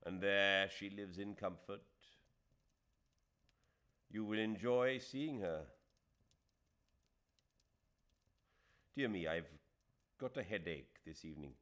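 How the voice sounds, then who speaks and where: A man voicing a moody, proud and sensitive Indian gentleman in an audiobook, with emphatic, fretful delivery. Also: sits low, measured pace, long pauses, voice pushed up. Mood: uneasy.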